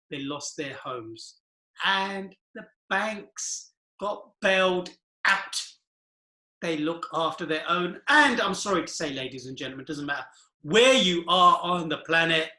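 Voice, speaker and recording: A man's voice: a little high, bright, forceful tone, reverberant, rough recording. A man in his forties giving an earnest, rambling talk about money and the economy, asking rhetorical questions and laughing nervously at times. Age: 40s. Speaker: finance commentator. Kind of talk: casual monologue to camera.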